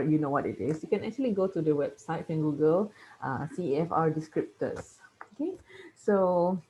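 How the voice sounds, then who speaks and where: A woman from Malaysia, whose first language is Kayan, lectures her university class, often pausing to ask "okay?". Her voice sits a little low and mellow. The recording sounds dull and lo-fi. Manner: explanatory, checks in with "okay?" often.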